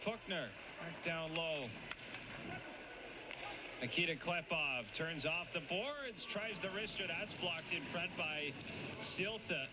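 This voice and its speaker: A man calling hockey play-by-play in a brisk, running commentary. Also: on the high side. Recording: broadcast with loud arena noise.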